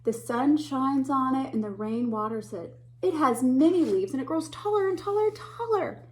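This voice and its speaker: Expressive woman teacher reading aloud. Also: high-pitched. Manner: animated, gasps and whispers for suspense, pauses to point out pictures. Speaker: teacher reading a picture book to children. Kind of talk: expressive read-aloud storytime.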